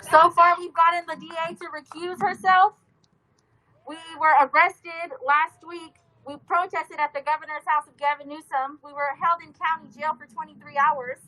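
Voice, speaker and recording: Female activist from California, high pitch, bright edge, urgent earnest delivery. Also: poor audio. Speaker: activist, victim's sister. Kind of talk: rally speech.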